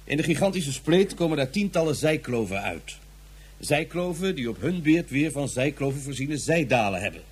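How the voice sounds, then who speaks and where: A man's voice: on the high side, sing-song, normal speed, breathy edge. An actor playing an officious male police inspector, speaking in a bureaucratic, pompous way. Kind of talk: radio drama acting.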